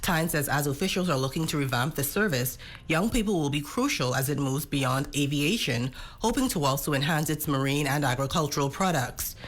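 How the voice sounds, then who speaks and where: A woman, a news reporter reading her report in a steady, formal way. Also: sits low, a little fast. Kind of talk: scripted report narration.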